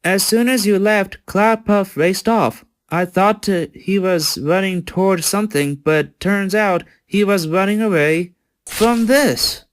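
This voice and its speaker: A man's voice: high voice, slow. A man voicing a confident young male pony sheriff in a fan comic dub, take-charge in manner.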